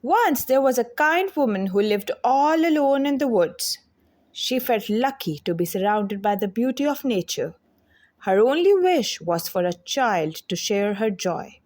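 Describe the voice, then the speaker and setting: Female storyteller for children's read-aloud. Animated, deliberate delivery, slightly high register. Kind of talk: scripted children's fairy tale read-aloud.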